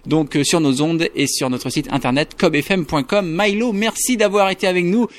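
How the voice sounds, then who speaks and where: A man's voice: fairly high pitch, normal speed. A man from France hosting a radio interview, asking formal questions with the odd filler. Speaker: radio host.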